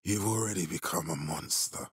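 An actor playing a menacing middle-aged military leader in a video game, authoritative, curt and measured. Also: male voice, sits low, breathy edge, no echo, clean studio sound. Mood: grave, ominous. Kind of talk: dramatic video game voice acting.

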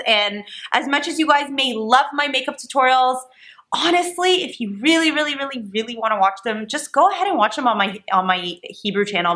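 A young woman from Canada chatting to the camera in a vlog, rambling and animated with lots of fillers. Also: on the high side. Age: young adult. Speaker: vlogger. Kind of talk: casual chat to camera. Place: small dim living room indoors.

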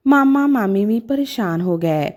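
A woman's voice: medium pitch, lively intonation, moderate pace, a little mellow. A woman narrating a scripted story in a dramatic, emotive manner.

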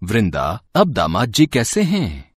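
A man's voice: on the low side, wildly swooping pitch, slow pace, heavy background noise, heavily acted. Kindly older village father played by a man. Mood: gentle. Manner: affectionate, encouraging.

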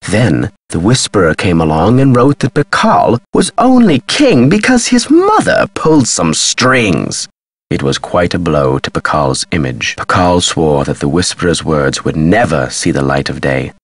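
Agitated eccentric scholar, video game, low husky voice, theatrical emphasis, dry close recording. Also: male voice, huge pitch swings, measured pace, dry recording, heavily acted. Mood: intense, musing. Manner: theatrical, emphatic, swinging delivery. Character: eccentric, agitated male amnesiac Maya scholar.

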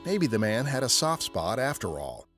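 A man's voice: mid-range voice, big pitch swings, moderate pace, fairly bright tone, faint music behind. A man narrating a scripted history podcast as a storyteller.

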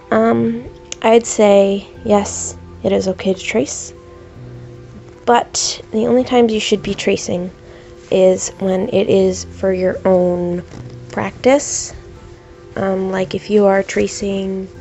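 A woman's voice: mid-range voice, vocal fry, mellow, lo-fi sound. A young woman with a stuffed-up cold voice, chatting hesitantly with many ums while she draws at home, with the camera over her paper. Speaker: art YouTuber. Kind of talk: casual commentary while drawing. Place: at home, at a desk, drawing.

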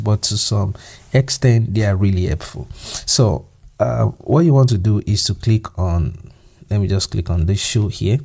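A man from Nigeria with a Nigerian accent, a Yoruba speaker, walking through software steps in a relaxed voice-over, thinking aloud with fillers and restarts. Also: sits low, creaky. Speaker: software tutorial presenter. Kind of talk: screen-recorded software tutorial. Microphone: voice-over to screen recording.

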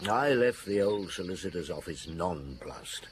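An actor playing a shrewd, probing middle-aged police chief inspector in a radio drama. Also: male voice, sits low, animated, measured pace. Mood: musing. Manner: probing, full of hms and short questions. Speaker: actor playing the lead detective, also narrating. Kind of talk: radio drama acting and first-person narration. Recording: noisy old radio broadcast.

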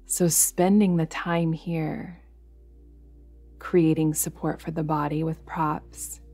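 A woman's low voice leads a guided meditation over music. She keeps her pitch very even and steady. Her delivery is soothing and unhurried, with space between the instructions. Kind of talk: guided yoga nidra meditation narration. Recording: music behind the voice.

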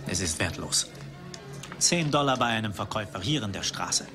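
An actor playing a suave, cynical rival archaeologist in a dubbed film, speaking in a polished, philosophical way. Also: male voice, on the low side, expressive, normal speed, lightly acted. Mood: suave, condescending. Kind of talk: dubbed 1980s film dialogue. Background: film music.

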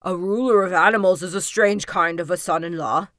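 A woman acts out a folktale king, suspicious and full of bluster. The delivery is loud and commanding, with a lowered tone. The sound is dry. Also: fairly quick, dry recording, close to an everyday voice. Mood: imperious. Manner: loud, imperious.

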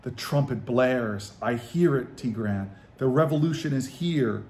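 An eager young granddaughter narrating a picture book, as a man reads her. The telling is wide-eyed and curious, with expressive, slow phrasing. There is faint background noise. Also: medium pitch, close to an everyday voice. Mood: excited.

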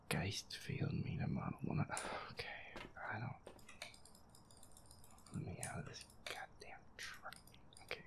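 Feisty, reluctant werewolf boyfriend played by a man for audio roleplay: heavy vocal fry, breathy near-whispers, muttered swearing over a horror game. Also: on the low side, full character voice. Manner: grumbling, sweary, muttering to himself. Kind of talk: casual gameplay commentary, audio roleplay.

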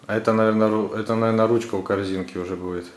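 A man's voice: fairly low pitch, steady tone, rapid-fire. A man, a father talking casually to his children, giving them simple, encouraging, repeated instructions as they do a craft. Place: indoors, living room at home. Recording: roomy home recording with noise.

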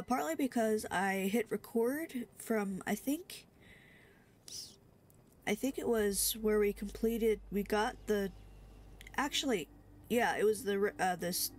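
A woman's voice: medium pitch, a little hiss or hum. A young woman with a stuffy cold, rambling sleepily and full of fillers while playing a video game. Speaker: gaming streamer. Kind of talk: casual let's-play commentary.

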